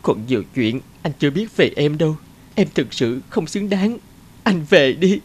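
An actress voicing a distressed, wounded young woman in an animated drama, emotional, indignant and pleading. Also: deep voice, moderate pace, breathy edge. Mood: tearful, resigned. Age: young adult. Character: distressed young engaged woman, defiant, wounded, guilt-ridden.

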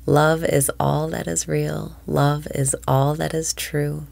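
A woman leading a guided breathing meditation in a slow, soothing voice that often drops to a whisper. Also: low voice, little pitch movement, vocal fry, a little mellow, no echo.

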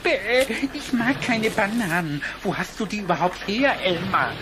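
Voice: medium pitch, expressive, unhurried, close to an everyday voice. Actor as a cheeky male cartoon rabbit, wisecracking, swooping intonation, children's audio play, heavy cassette noise. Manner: sassy, wisecracking.

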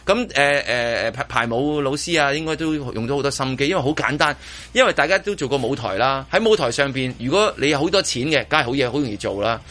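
A man's voice: mid-range voice, expressive, fast talker, few pauses, bright, forceful tone. A man with a Hong Kong Cantonese accent hosting casual radio chat, often repeating words and restarting as he reviews a performance. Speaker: radio host. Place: radio studio.

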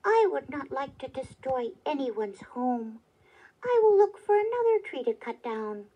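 Woman voicing a slow-talking, grumbling woodcutter. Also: sits high, sing-song, warm tone, breathy edge, full character voice, poor audio. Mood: agreeable. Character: plain-living, grumbling male woodcutter and husband. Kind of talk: children's storybook character voice.

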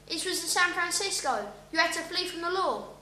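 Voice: extremely high pitch, lively intonation, a little fast, bright, forceful tone, full character voice. A school pupil playing an outraged accuser in an amateur radio play. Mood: accusing. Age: child, about 12 to 13. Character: outraged accuser confronting and fighting a villain. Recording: echoey room, faint hum.

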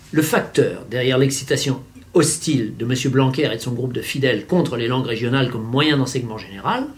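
A man's voice: mid-range voice, a little mellow. A man in his fifties giving didactic, wry opinion commentary to a camera in a room at home. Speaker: vlogger commentator. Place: small furnished room at home.

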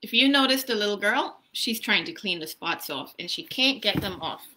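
A woman's voice: medium pitch, lively intonation, moderate pace, sharp tone. A woman in her thirties reading a children's story aloud in a casual, encouraging way, prompting young listeners with questions. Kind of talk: interactive children's story time, casual chat and read-aloud. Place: small room indoors. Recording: noisy home webcam recording.

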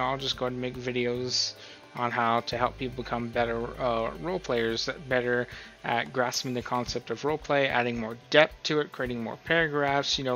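A man's voice: mid-range voice, fairly bright tone. A man talking informally and in a rambling way, as the host of a casual tutorial. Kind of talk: casual screen-recorded tutorial talk. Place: voice-over on a screen recording.

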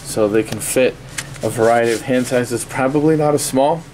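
A man giving a casual, halting, offhand unboxing review at a desk in a small indoor workspace. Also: medium pitch. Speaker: product reviewer. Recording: steady noisy room ambience.

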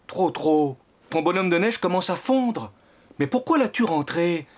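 An actor playing a father donkey in a French preschool cartoon, calmly questioning and explaining to his child. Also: high voice, a little slow. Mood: gently chiding.

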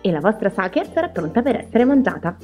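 A woman's voice: mid-range voice, sing-song, quick pace, over music. A woman narrating a recipe in voice-over as a home cooking host.

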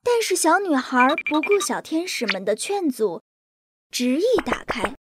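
Storybook narrator: woman, high, very expressive delivery. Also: measured pace, noisy background. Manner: lively, expressive. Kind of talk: scripted bedtime story narration.

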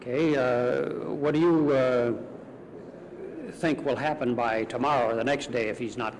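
A man's voice: medium pitch, fairly even intonation, measured pace. A man working as a news reporter, asking probing interview questions. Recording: noisy archival newsreel, street sound.

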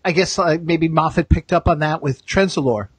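Higher-pitched, husky man chatting. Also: moderate pace, dry recording. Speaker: podcast host. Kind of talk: casual chat.

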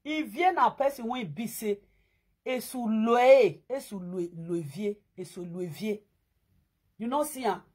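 A woman in her thirties with a Nigerian Pidgin accent, chatting to her viewers indoors in an animated, emphatic way. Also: fairly high pitch, measured pace, slightly rough. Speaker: vlogger. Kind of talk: casual chat to viewers. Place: indoors against a plain wall.

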